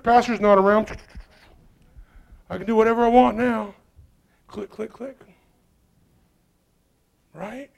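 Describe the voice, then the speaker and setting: A pastor preaching a sermon, swinging between loud urgent peaks and low quiet asides. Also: male voice, vocal fry.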